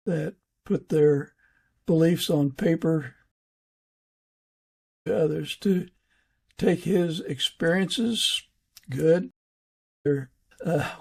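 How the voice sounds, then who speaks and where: A man hosting an interview podcast, speaking in an earnest, unhurried way with a husky, gravelly voice. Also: a little high, darkish tone.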